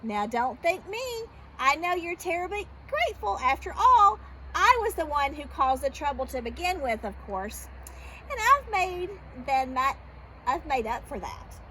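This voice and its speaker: Storybook older woman, acted by a female reader: finicky, self-congratulatory, gushing, very animated pitch. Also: unhurried, slight background noise, full character voice, low-quality recording. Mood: smug, breezy.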